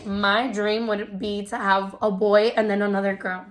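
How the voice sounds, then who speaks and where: Young woman from New York, chatty vlogger, quick casual delivery. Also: medium pitch, flat delivery. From New York, USA. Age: 20s. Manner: chatty, quick, laughs often. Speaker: vlogger, preschool teacher. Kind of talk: casual couple Q&A chat.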